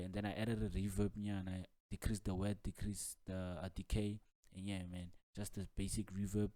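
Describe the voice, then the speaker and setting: A deep-voiced man talks through a music software tutorial in a relaxed, flat tone. He explains loosely and informally, often circling back over his words. The sound is close and dry. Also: dry recording. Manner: casual, repetitive filler-heavy explaining with frequent "like" and "man".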